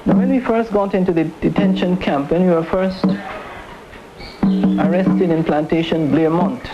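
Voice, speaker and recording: A person speaking reflectively and haltingly in a documentary interview, explaining and recalling political activism, on an old film soundtrack. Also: mid-range voice, faint music behind. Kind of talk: spoken reminiscence in a documentary interview. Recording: dull 1970s film sound, faint noise.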